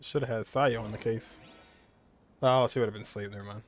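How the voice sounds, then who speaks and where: A man's voice: fairly low pitch, steady tone, fairly quick, faint music behind. A man giving casual playthrough commentary on a game in a wry, offhand way. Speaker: game streamer. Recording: faint background noise.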